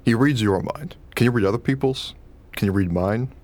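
An actor playing an anxious, analytical, argumentative husband with an earnest, questioning manner in a radio play. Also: male voice, fairly low pitch, normal speed, a little mellow, lightly acted. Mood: insistent.

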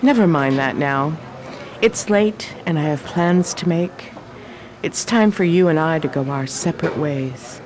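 Woman acting lively, lowish-voiced wry 1920s sleuth. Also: very noisy. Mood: confident. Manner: brisk, knowing, wry. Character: shrewd, self-assured, wry 1920s female amateur sleuth. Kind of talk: old-time radio drama acting.

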